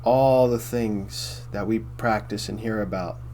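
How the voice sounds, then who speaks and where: A man with a baritone voice hosting a casual philosophy monologue, rambling and repeating himself with many fillers. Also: steady tone, takes it slowly, darkish tone, slight background noise. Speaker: host.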